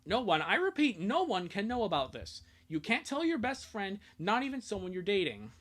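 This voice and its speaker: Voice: mid-range voice, big pitch swings, normal speed. A streamer voicing a stern, exasperated parent laying down rules in a firm, pleading way.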